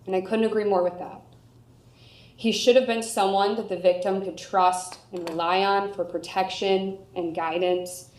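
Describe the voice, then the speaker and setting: A woman with an American accent making a formal courtroom argument as a prosecutor, in a measured, articulate manner. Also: medium pitch, steady tone, a little mellow. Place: indoor courtroom. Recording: echoey room sound.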